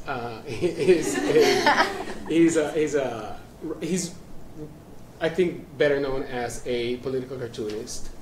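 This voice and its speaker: A man hosting a live panel discussion at a museum, introducing guests in a chatty, rambling way with many restarts. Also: medium pitch. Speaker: panel moderator. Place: event room at a museum. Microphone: live stream. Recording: roomy sound from a distance with faint noise.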